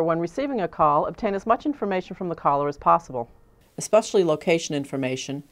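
Lower-pitched woman narrating instructions. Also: no echo. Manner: measured, instructional. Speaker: training video narrator. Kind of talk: scripted narration.

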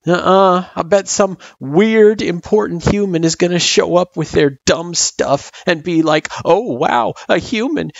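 Male reader as young girl, sweet and unsure, high halting voice, dry sound, for narrated fiction. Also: creaky, no echo, muffled recording, exaggerated voice. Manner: hesitant, halting, plaintive. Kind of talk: character voice in story narration.

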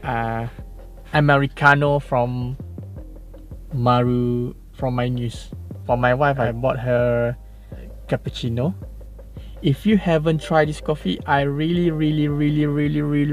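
Malaysian man vlogging from his car. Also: medium pitch. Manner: relaxed, chatty, tags sentences with "lah" and "kan". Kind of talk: casual talk to camera, mixing English and Malay. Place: parked car, indoors.

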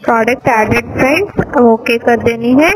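A woman's voice: a little high, normal speed, over music, low-quality recording. Woman narrating an app walkthrough. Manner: step-by-step instructional, addressing viewers as friends. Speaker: app tutorial presenter. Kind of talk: screen-recorded app walkthrough. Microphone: voice-over over screen recording.

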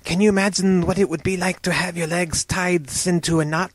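A man voicing a tall, proud serpent-man in a storybook, soft-spoken, courteous and wry. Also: high voice, steady tone, fairly quick, no room sound, pitched above the performer's own voice. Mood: wry, grateful.

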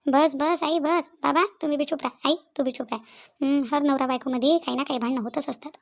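A woman's voice: high-pitched, steady tone, quick pace, gravelly. A woman voicing a young married daughter in a comedy cartoon, wearily pleading and reasoning. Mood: weary, pleading. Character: young married daughter, weary peacemaker between parents. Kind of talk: Marathi comedy cartoon dialogue.

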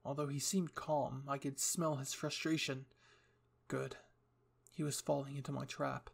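A man's voice: medium pitch, little pitch movement, measured pace, gravelly, slightly rough. A young man reading scripted material as the narrator and host of a story channel.

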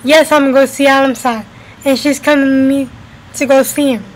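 A woman's voice: high voice, fairly even intonation, fairly quick, a little hiss or hum, rough recording. A woman in her twenties from the United States, chatting casually to camera as a vlogger and repeating her phrases for emphasis. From United States, New Jersey and Florida. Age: 20s. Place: indoors at home by a window. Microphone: webcam at arm's length.

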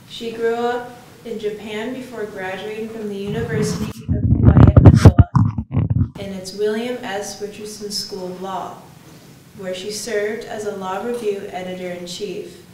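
A young woman reading a prepared introduction at a podium, in a careful, steady manner. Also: medium pitch, slight background noise. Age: young adult. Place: college hall, at a podium, indoors. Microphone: podium mic. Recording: echoey hall, mic a bit far off.

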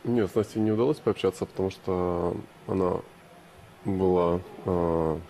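Man, very low pitch, gravelly, hesitant, brief answers, faint noise. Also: normal speed, warm tone. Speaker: bachelor guest. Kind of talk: studio interview answers.

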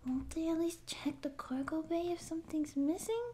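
Very high-pitched timid catgirl space pirate. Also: steady tone, normal speed, dark tone. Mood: anxious, lonely. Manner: nervous bluster that slips into meek rambling. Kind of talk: scripted ASMR audio roleplay.

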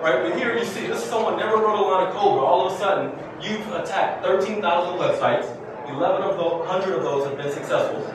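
A man's voice: high-pitched, a little fast. A man giving a conference keynote as a security researcher, posing rhetorical questions and tagging points with "right?". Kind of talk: conference presentation to an audience. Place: indoor conference room with seated audience. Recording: very echoey room, distant mic, clearly noisy.

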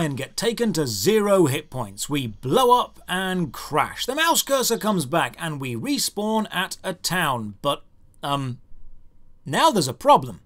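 A man narrating a scripted video game review in a sarcastic, theatrically exasperated manner. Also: fairly high pitch.

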